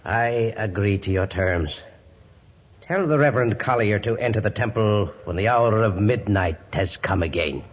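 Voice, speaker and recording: Man acting pompous, haughty pagan high priest. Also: normal speed, big-room sound, noisy background, lightly acted. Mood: grudging. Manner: pompous, imperious. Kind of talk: 1950s radio drama acting. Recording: muffled vintage radio recording.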